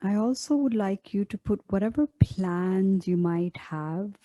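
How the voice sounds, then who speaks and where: A woman leading a guided meditation as a teacher, speaking in a gentle, soothing, unhurried way with long pauses. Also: mid-range voice, dry recording.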